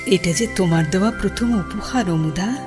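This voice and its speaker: Woman voicing a shy teasing sweetheart in a radio play, soft voice, music under. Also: mid-range voice, moderate pace, close to an everyday voice. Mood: affectionate. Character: gentle young woman, shy childhood sweetheart. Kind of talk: Bengali radio drama acting.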